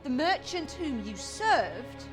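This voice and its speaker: A woman's voice: high-pitched, very animated, full character voice. An actress playing a composed, vengeful young woman in a fantasy game, speaking in formal, archaic English over music. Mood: cold, accusing. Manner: archaic, formal, measured speech. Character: vengeful, composed young woman sworn to avenge her parents. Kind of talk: dramatic fantasy game acting.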